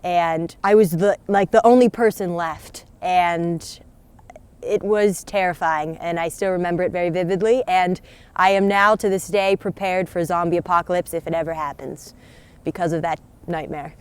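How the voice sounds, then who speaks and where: Young woman from pennsylvania, clear smooth voice, chatty, goes soft and low remembering stuff. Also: steady tone, moderate pace. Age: young adult. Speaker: interviewee, young artist. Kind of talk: casual personal storytelling to camera.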